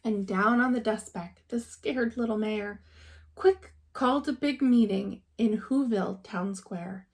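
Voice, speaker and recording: A woman's voice: medium pitch, a little slow, a little mellow. A woman narrating a children's storybook expressively, like a teacher reading aloud.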